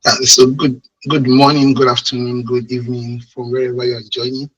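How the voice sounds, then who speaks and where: Husky Nigerian man with a cold. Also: medium pitch, completely flat delivery, a little fast, a bit bright, highs cut off. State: congested and hoarse from a cold and cough. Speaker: guest speaker, IT project manager. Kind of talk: webinar presentation. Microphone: online video call microphone.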